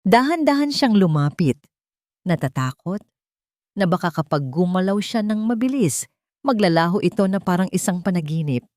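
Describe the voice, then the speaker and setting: A woman's voice: lowish voice, sing-song, moderate pace, a little mellow, dry recording, clean studio sound. A woman reading a scripted, dramatic audio story as a storyteller.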